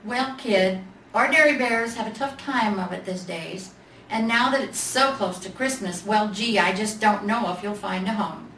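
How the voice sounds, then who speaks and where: A woman voicing a gruff, impatient big toy bear in a storybook reading, blunt and grumbly. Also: medium pitch, normal speed, a bit bright, close to an everyday voice. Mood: grumbling, dismissive. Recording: roomy, noisy, distant library mic.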